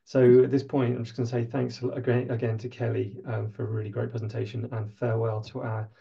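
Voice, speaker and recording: A man's voice: medium pitch, level tone, brisk, gravelly, mellow. A man in his thirties hosting a webinar from a room with a skylight, thanking the speaker over a video call. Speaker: webinar host. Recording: dull lo-fi video call audio.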